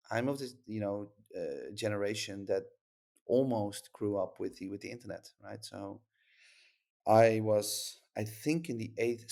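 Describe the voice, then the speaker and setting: A man's voice: lowish voice, gravelly, a little mellow. A Dutch-accented man from the Netherlands, a startup founder talking casually on a podcast, rambling with lots of fillers and restarts.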